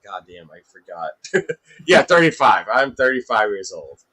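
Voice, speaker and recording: Casting: man, 30s, guest on a call, bright voice, lively swings in pitch, laughs a lot. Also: a little slow. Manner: animated, laughs often, swears casually. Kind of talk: casual chat.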